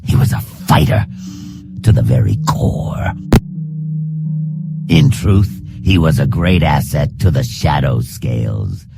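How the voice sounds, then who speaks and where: A man voicing a hard, proud reptilian assassin trainer in a fantasy game, gravelly and growling, speaking in a measured, formal, stern manner. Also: rumbling low, animated, over loud music, heavily acted. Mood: solemn, proud. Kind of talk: fantasy game character dialogue.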